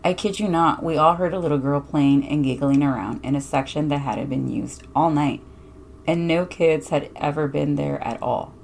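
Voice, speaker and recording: A woman's voice: sits low, normal speed, creaky, darkish tone, a little hiss or hum. A woman hosting a podcast, reading a listener's spooky anecdote in a conversational way.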